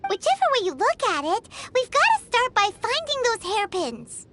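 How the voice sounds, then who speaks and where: A woman voicing a tiny, cheeky, excitable childlike fairy companion in an anime-style game. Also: moderate pace, noisy background. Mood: indignant. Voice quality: squeaky, childlike. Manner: refers to self in third person, blunt, exclamatory. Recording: music behind the voice.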